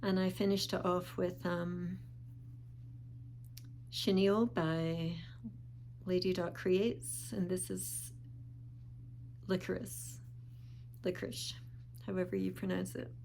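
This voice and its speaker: Slow, gravelly woman, a little sniffly. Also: lowish voice, a little hiss or hum. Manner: calm, unhurried, with small pauses and restarts. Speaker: vlogger. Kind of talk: casual show-and-tell vlog.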